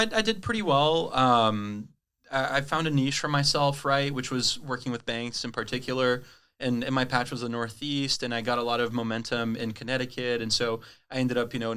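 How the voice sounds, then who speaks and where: A man from Brazil whose first language is Portuguese, a sales rep telling his career story in a casual interview, chatty and quick to laugh. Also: mid-range voice, bright, forceful tone, smooth. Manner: chatty, lots of "right?" tags and laughs. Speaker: guest, cloud sales rep.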